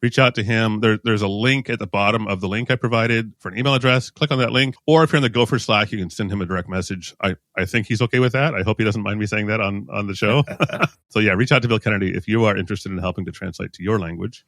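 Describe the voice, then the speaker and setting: A man's voice: on the low side, fast talker, a bit bright, no room sound. A man hosting a podcast interview, asking questions in a casual, friendly way with brief replies in between. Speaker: podcast host.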